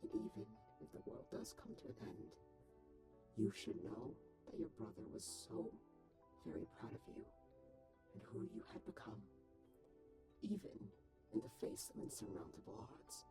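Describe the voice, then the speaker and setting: Robot caretaker for animated comic dub, actor-performed: clinical, lecturing, creaky, very animated delivery. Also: medium pitch, normal speed, long pauses, over music. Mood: solemn, gentle. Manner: formal, precise, lecturing. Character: stern clinical robot caretaker, wordy, exasperated, secretly soft-hearted.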